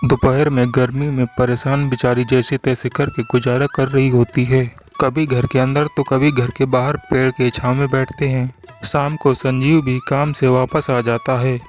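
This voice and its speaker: Man, storybook narrator, cartoon script, even melody, background music. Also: medium pitch, moderate pace.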